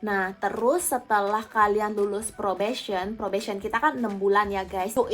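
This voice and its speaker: A young woman with an Indonesian accent speaks in a medium-pitched voice. Her delivery is informal and conversational, like a vlogger chatting directly with followers. Music plays behind her voice. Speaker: vlogger, former or current flight attendant. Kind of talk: casual chatty Q&A to viewers. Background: background music.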